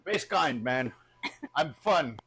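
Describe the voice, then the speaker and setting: A man's voice: medium pitch, lively intonation, slow pace, a bit bright, husky. A South African man hosting a cooking stream, chatting casually and joking with filler words while he cooks. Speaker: cooking show host.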